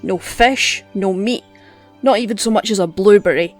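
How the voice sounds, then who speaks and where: A man's voice: high-pitched, moderate pace, faint music behind, pitched above the performer's own voice. A man voicing a harsh, crude woman who scolds and mocks. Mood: scolding. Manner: crude, mocking.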